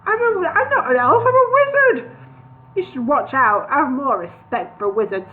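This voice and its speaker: A woman voicing a deaf, querulous old male wizard with a mock Newcastle accent, shouting and sulky. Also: lively intonation, normal speed. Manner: shouting, sulky, squawking high. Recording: tinny phone-like audio.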